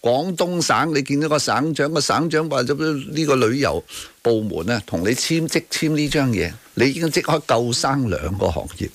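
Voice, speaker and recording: A man's voice: medium pitch, animated, moderate pace, no echo. A man with a Hong Kong Cantonese accent hosting radio talk, arguing emphatically about current affairs with rhetorical tag questions and bits of English. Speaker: radio talk show host. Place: radio studio.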